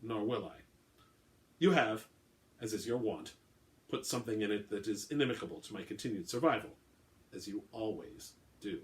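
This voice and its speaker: A man's voice: on the low side, expressive, normal speed, pauses often, pitched below the performer's own voice, lightly acted. Man reading as a pompous, suave old vampire. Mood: wry. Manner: formal, pompous, elaborate phrasing. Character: suave, haughty, centuries-old male vampire, easily disgusted. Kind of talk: dramatic reading of an all-dialogue short story.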